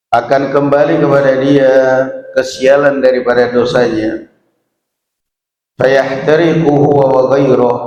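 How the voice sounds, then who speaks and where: A man with an Indonesian accent giving a religious lecture, reciting and explaining in measured, deliberate phrases with pauses. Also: mid-range voice, a little mellow, dull audio. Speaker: Islamic preacher and teacher. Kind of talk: religious lecture, reading Arabic text aloud then explaining it. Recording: very echoey hall with long reverb.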